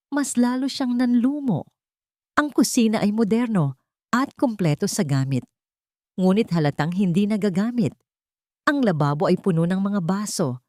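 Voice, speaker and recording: Female storyteller, lower register, dry close sound, scripted romantic drama narration. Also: darkish tone, no room sound.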